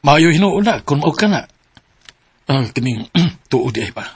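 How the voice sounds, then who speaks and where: An actor playing a gentle, concerned middle-aged father in a radio drama. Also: a little high, sing-song, a little slow, slightly rough, heavily acted.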